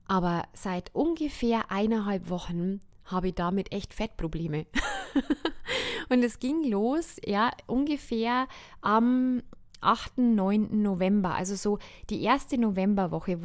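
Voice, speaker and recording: A woman's voice: medium pitch, a little mellow, dry recording, clean studio sound. A woman hosting her own podcast as an astrologer, talking candidly and laughing often, a little stuffed up from a cold. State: slightly stuffed-up nose from a cold. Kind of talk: casual solo podcast talk.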